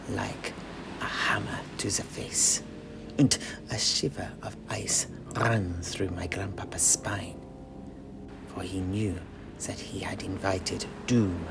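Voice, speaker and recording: A man telling an in-character fantasy story in a dramatic, measured and halting manner. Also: on the low side, creaky, background noise.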